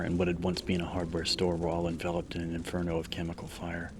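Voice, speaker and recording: Very deep, even-toned male horror narrator. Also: noisy background. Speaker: audiobook narrator. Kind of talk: dramatic scripted horror narration. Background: background music.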